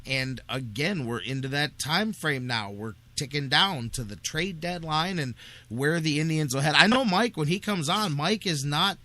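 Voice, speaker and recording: An American man hosting a sports radio show in an energetic, casual, rambling style full of slang. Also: on the high side, moderate pace. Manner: informal, rambling, peppered with slangy address. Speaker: sports talk radio host.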